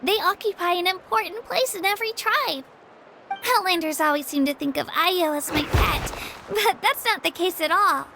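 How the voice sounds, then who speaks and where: A woman's voice: extremely high pitch, moderate pace, background noise. A woman voicing an eager, friendly young girl adventurer in a video game, earnest, enthusiastic and chatty. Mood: earnest, explaining.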